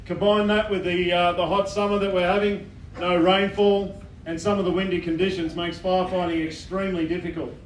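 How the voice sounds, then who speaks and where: Loud-voiced Australian man briefing a hall. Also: sits high, little pitch movement, distant mic, slight background noise. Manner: loud, plain-spoken, many "uh" pauses. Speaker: fire incident controller. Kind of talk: public briefing at a community meeting. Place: bowling club function hall. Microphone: microphone addressing a room.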